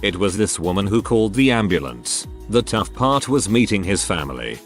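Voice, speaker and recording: A man narrating Reddit stories in a steady scripted read. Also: on the low side, moderate pace. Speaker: narrator reading Reddit posts. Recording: faint music behind the voice. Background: soft background music.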